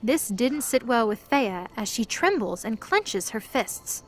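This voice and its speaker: A woman with a fairly high voice narrates a scripted fantasy story. Faint music plays behind her narration. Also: very animated, measured pace.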